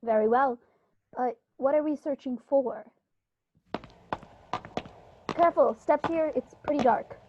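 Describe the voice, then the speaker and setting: A woman playing a flustered, excitable country gentleman in a radio play, full of fussy exclamations. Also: high-pitched, moderate pace, highs cut off, noisy background, close to an everyday voice. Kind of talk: amateur radio play acting.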